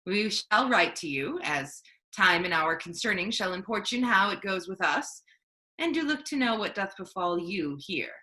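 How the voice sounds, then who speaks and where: A woman playing a grave, courteous male ruler in a staged Shakespeare reading, formal and measured in phrasing. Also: mid-range voice, expressive, sharp tone. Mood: brisk, courteous. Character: grave, courteous male ruler handing over his power.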